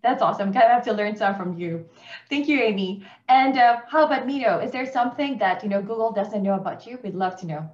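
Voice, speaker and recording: A woman moderating an online panel, upbeat and quick to laugh as she introduces the speakers. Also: mid-range voice, lively intonation, darkish tone. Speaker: webinar moderator. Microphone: video call. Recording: echoey room, dull video-call audio.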